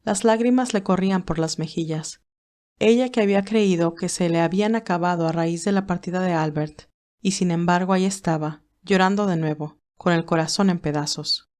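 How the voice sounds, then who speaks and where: A woman narrator reading a romantic drama as a scripted audiobook, in a medium-pitched voice. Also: normal speed, a little mellow.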